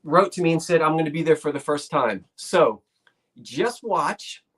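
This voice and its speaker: A man's voice: a little high, expressive, moderate pace, fairly bright tone, breathy edge. A man from South Jersey with a South Jersey accent, hosting a live sale stream in casual, rambling chat. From South Jersey, Delaware Valley. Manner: rambling, restarts sentences often.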